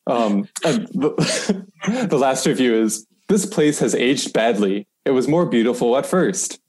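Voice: medium pitch, sing-song, unhurried, a little mellow. A podcast guest chatting casually and reading short reviews aloud with dramatic emphasis.

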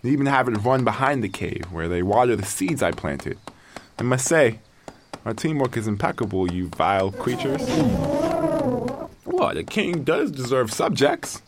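A man playing a proud, bossy castaway in an audio drama, speaking haltingly in a haughty, grudging way to his companions. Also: mid-range voice, lively intonation, noisy background, natural voice. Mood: smug. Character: proud stranded male castaway, bossy, grudging, talking to creatures. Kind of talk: dramatic audio fiction monologue, survival log.

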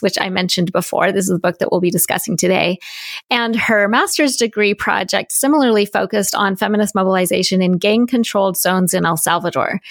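A woman hosting an interview podcast, speaking in an enthusiastic, friendly way. Her voice has a noticeable gravelly fry, and the recording sounds dry and close. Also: medium pitch, no echo. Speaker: podcast host.

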